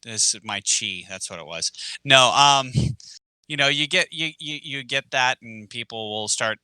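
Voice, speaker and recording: A man co-hosting a casual podcast, chatting in a relaxed way with lots of fillers. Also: medium pitch, a little slow, clear, resonant tone, no room sound, poor audio. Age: adult with kids. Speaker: podcast co-host, martial arts teacher.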